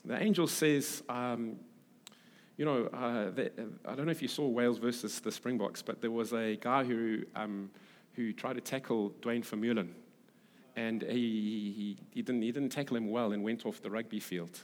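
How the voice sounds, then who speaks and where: In a hall with long reverb, a South African man delivers a sermon in a medium-pitched voice. His delivery is conversational and a little halting, and he repeats phrases to press a point home. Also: fairly even intonation. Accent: South African English. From Durban, South Africa. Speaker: preacher, pastor. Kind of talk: sermon with scripture reading and conversational asides. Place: large reverberant hall, preaching to a congregation.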